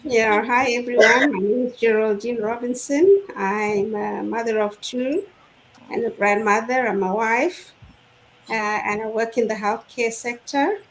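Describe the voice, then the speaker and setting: Brief, slow-speaking woman guest. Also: on the high side, a little hiss or hum, rough recording. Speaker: guest, healthcare worker. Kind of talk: livestream panel chat.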